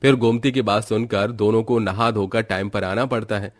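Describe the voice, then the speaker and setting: A man's voice: lowish voice, fairly even intonation, normal speed, no echo, studio quality. A man narrating a scripted family story as a storyteller.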